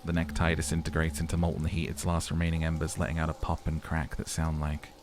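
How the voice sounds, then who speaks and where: Deep-voiced man narrating a game playthrough. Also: completely flat delivery, quick pace. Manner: dramatic storytelling mixed with casual, sweary asides. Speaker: let's player. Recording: faint background game noise.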